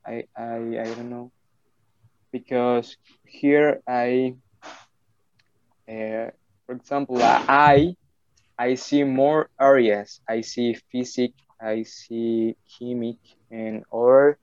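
A man from Colombia, a native Spanish speaker, answering interview questions in halting English with many restarts. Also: mid-range voice, little pitch movement, clean tone, dry recording, dull audio. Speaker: English student.

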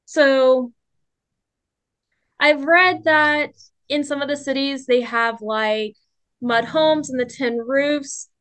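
A young woman conducting an interview for a class, asking polite, careful questions with pauses. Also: high-pitched, steady tone, fairly bright tone, no echo. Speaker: interviewer, student.

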